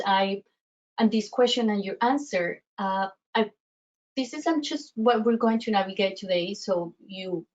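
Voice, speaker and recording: Colombian-accented woman coach, halting delivery. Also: medium pitch, highs cut off. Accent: Colombian Spanish. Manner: halting, many fillers and restarts. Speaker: self-worth coach. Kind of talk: webinar presentation.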